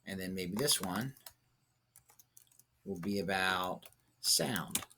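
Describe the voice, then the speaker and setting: A man's voice: low-pitched, takes it slowly, noisy background. A man teaching a lesson, thinking aloud and coaching step by step with short check-in questions. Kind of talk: instructional lesson, thinking aloud through a writing example.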